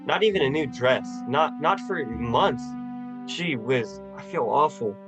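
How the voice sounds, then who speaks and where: A man's voice: medium pitch, lively intonation, measured pace, dull audio, music in the background, natural voice. A young man playing an earnest, stammering, hot-headed small-town husband in a folksy 1940s radio drama style. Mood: dejected. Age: young adult.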